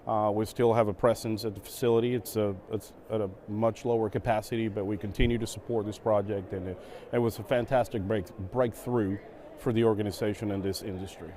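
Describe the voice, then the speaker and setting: A middle-aged man from Venezuela speaks on a conference stage as an interview guest. His voice is a little low and warm, and he talks in a relaxed way, his English, which is not his first language, full of fillers and restarts. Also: brisk, a little hiss or hum. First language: Spanish. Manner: relaxed, with many fillers and repeated words. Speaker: guest, operations executive.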